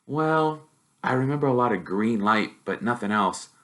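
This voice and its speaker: A man's voice: mid-range voice, expressive, moderate pace, a bit bright, pitched above the performer's own voice, natural voice. A man voicing a modest, curious young boy wizard in a storybook, plain and earnest. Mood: reserved.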